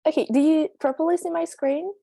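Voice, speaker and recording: A woman's voice: high voice, steady tone, brisk, gravelly, mellow, no echo, clean studio sound. A sixteen-year-old girl from Belgium hosting an online meeting, talking hesitantly with many fillers. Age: teenager, sixteen. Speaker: meeting host presenting slides. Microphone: video call.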